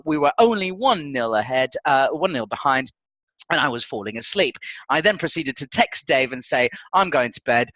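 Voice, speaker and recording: A man hosting a football podcast with casual, excitable, jokey banter and a halting delivery. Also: fairly high pitch, no room sound, poor audio. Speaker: podcast host.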